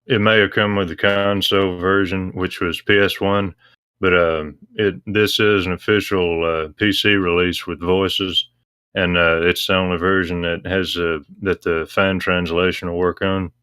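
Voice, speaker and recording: A man explaining things casually as a guest, in a hesitant, measured way. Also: deep voice, flat delivery, pushed and bright, clean tone, dry recording.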